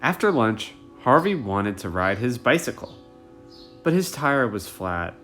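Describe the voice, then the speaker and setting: Man reading a picture book, animated delivery. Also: mid-range voice, unhurried, faint music behind. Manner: animated, addresses young listeners. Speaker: read-aloud storyteller.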